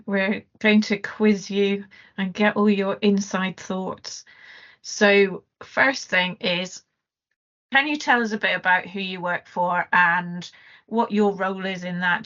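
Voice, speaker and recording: A woman's voice: mid-range voice, little pitch movement, unhurried, a bit bright, highs cut off. A woman co-hosting a podcast, chatting casually and putting questions as interviewer.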